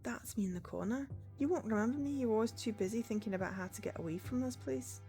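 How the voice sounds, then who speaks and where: Woman doing anxious earnest young guard girl in a cyberpunk game, pitched up, pleading, quiet music behind. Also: fast, close to an everyday voice.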